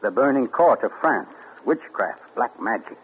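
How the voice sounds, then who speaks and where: An actor in a 1940s radio play voicing a sinister, amused man who studies poisoners, with a husky voice and a mocking chuckle. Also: medium pitch, animated, moderate pace. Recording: muffled 1940s radio broadcast.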